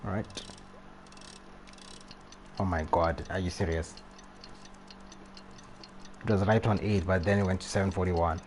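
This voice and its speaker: A man's voice: sits low, fairly even intonation, a little slow, pauses often. A man live-streaming a game with casual, slangy commentary, reacting aloud. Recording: faint background noise.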